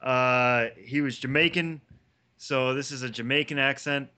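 A man's voice: medium pitch, unhurried, sharp tone, clean tone. A man on a podcast talking hesitantly and in a self-deprecating way, stalling with restarts. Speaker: podcast co-host. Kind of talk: casual podcast chat.

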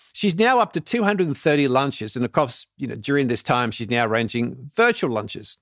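A man's voice: on the high side, fairly bright tone, no room sound, studio quality. A man hosting a podcast, asking curious, thoughtful questions in a conversational way. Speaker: podcast host. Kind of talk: conversational interview.

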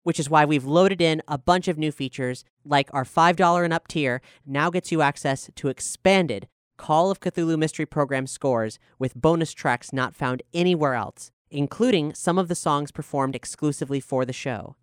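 A man with a fairly high, smooth, clean voice speaks as a podcast host making scripted announcements. The sound is very dry and close, with an easy-to-follow delivery. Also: moderate pace, few pauses, no echo. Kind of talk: scripted announcement, promotional talk.

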